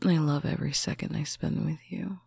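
A speaker in a slow, intimate roleplay, talking softly to a faraway partner on a bedtime call, often dropping into a whisper. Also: medium pitch, steady tone, vocal fry, breathy edge, no room sound, clean studio sound. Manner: soft, affectionate, often whispered. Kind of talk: intimate roleplay monologue to the listener.

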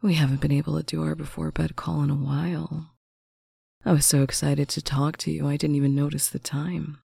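A speaker in a slow, intimate roleplay, talking softly to a faraway partner on a bedtime call, often dropping into a whisper. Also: medium pitch, flat delivery, gravelly, breathy edge, dry recording, studio quality. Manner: soft, affectionate, often whispered. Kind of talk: intimate roleplay monologue to the listener.

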